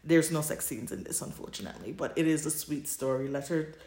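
Low-voiced woman chatting in a roomy space. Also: brisk, a little mellow. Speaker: podcast co-host. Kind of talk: casual chat about fan fiction.

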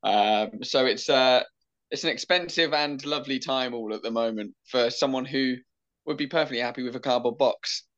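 A man's voice: medium pitch, moderate pace. Man, podcast co-host, laid-back chat, lots of ums, slightly muffled audio. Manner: relaxed, rambling, full of fillers.

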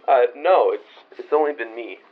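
A man's voice: mid-range voice, normal speed, natural voice. An actor playing an awkward, hesitant man who stammers a little, in an audio horror drama. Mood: sheepish. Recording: noisy, distant, tinny radio-like line.

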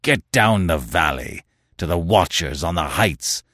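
A man's voice: deep voice, lively intonation, unhurried, fairly bright tone, no echo, studio quality, full character voice. A man doing a roaring, bull-voiced hill chief. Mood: commanding. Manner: roaring, growling, commanding. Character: burly bellowing hill-tribe chief, older man.

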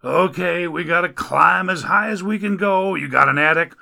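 A man voicing a griping, foul-mouthed male rescuer who grumbles loudly in folksy slang. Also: high-pitched, normal speed, gravelly, voice pushed up, exaggerated voice.

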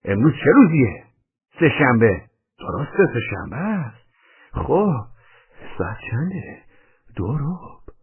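A man's voice: mid-range voice, very animated, long pauses, pitched above the performer's own voice. A man voicing a brash, blustering, argumentative male intruder, on a tinny, band-limited recording. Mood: indignant.

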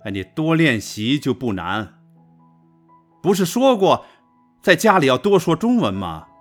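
Man playing an animated, insistent father. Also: fairly high pitch, unhurried, faint music behind, full character voice. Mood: insistent, exasperated. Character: insistent, instructive father of a young girl. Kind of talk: radio drama acting.

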